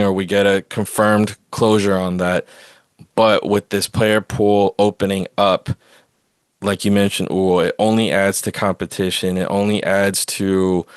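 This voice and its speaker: A man's voice: on the low side, clean tone, no room sound. A man hosting a podcast, talking through sports opinions in a casual, rambling way. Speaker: podcast host.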